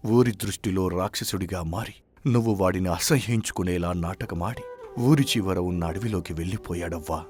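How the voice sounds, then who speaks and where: A village healer in a dramatic moral tale, acted by a man. He speaks in a fairly low voice with grave, earnest sorrow. Light music plays behind him. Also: darkish tone, lightly acted. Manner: earnest, solemn explaining. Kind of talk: melodramatic story acting.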